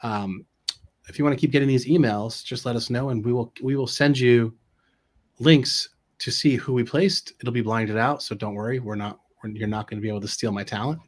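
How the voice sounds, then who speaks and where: A man's voice: mid-range voice, fairly quick. A middle-aged man co-hosting a business live stream, giving casual advice and often checking in with rhetorical questions. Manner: informal, peppered with rhetorical check-ins. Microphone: video call.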